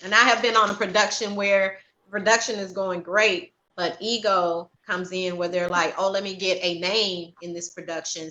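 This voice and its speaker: Woman panelist, bright forceful tone, colloquial and plain-spoken. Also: mid-range voice, rough recording. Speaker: stage play writer-director. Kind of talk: panel chat.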